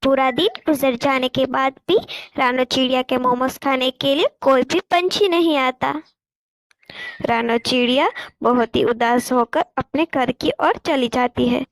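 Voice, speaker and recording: A woman's voice: sits high, unhurried, no room sound, rough recording. A woman reading scripted narration for a children's cartoon story.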